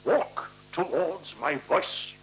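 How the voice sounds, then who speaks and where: An actor playing a chummy, fussily polite newcomer in a 1970s radio play, with a chatty, coaxing, courteous manner. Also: male voice, on the high side, expressive, slow, a bit bright, faint music behind. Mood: cheerful, reassuring. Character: chummy, fussily polite male newcomer making himself at home. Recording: noisy, humming 1976 radio recording.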